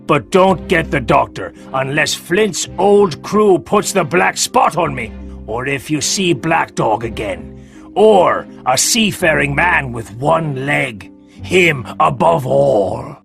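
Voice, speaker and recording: Fierce, sickly old seaman, read by a male narrator: gravelly, husky, lively pitch, dry sound with faint music. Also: slow pace, heavily acted. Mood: urgent. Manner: drawling, pleading and cursing. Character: sick, fierce old male sea captain.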